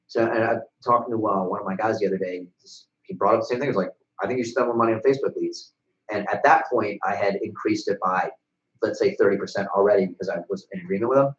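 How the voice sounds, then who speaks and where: A man's voice: fairly low pitch, fast talker. A young man with an American accent chatting casually about business as a webcast co-host, blunt and casually profane. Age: young adult. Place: small bright office, indoors. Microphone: desk microphone on a stand. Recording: echoey room, dull sound.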